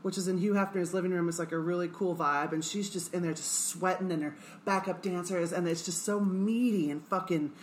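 Low-voiced woman, loose and profane. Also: steady tone, a little fast, a little hiss or hum. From Kansas. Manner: loose and profane, many fillers, laughs often. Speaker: guest performer and singer. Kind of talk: casual interview chat.

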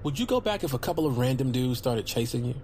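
A male actor as a goofball bounty hunter in a comedic audio drama. His mid-pitched voice rambles casually, boastful and defensive, with faint ambience behind it. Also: expressive, quick pace, a little mellow, a little hiss or hum, close to an everyday voice. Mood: sheepish, pleading. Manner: rambling, casual slangy excuses.

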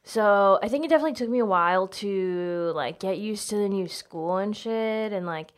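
A woman's voice: medium pitch, normal speed, clear, resonant tone. An American woman from South Carolina and Virginia talking candidly and casually as an interview guest, peppering her speech with "like". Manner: candid, chatty, frequent "like" filler. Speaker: podcast guest, stand-up comedian.

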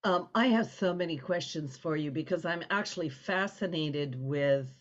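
A woman's voice: deep voice, moderate pace. Dull lo-fi audio, effusive woman host. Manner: effusive, rambling. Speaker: interview host. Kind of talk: casual conversational interview.

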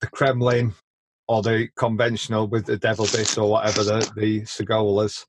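A man hosting a casual podcast, chatty and quick to share opinions, often stumbling and repeating words as he starts a sentence. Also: on the low side, completely flat delivery, background noise. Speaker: podcast host. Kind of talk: casual chat.

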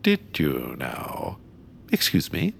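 Snooty spiteful bird baron, audio drama, voice leaps all over, bit of background noise. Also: male voice, a bass voice, huge pitch swings, slow pace, creaky, full character voice. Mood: haughty. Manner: pompous, theatrical, disdainful.

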